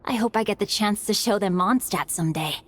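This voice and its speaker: An earnest, good-natured teenage boy adventurer from a video game, voiced by a woman. The voice is boyish and mid-pitched, humbly resigned to bad luck, over faint ambience. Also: brisk, slight background noise, lightly acted. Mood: accepting, wistful.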